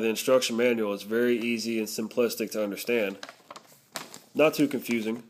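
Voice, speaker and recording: A man's voice: mid-range voice, slight background noise. A man narrating a casual product review, explaining things step by step.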